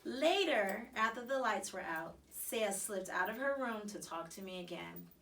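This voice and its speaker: A woman reading a children's story aloud in an expressive, animated way with dramatic emphasis. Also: medium pitch, unhurried, distant mic. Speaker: storybook reader.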